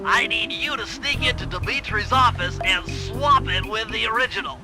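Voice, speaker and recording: Guy doing a really high nasal nerd turtle, wordy brainy game mission planner, music behind. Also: moderate pace, close to an everyday voice. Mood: instructive. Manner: precise, wordy, scholarly. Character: nerdy, cautious male turtle brainiac, young gadget-minded strategist. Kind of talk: video game cutscene and tutorial voice acting.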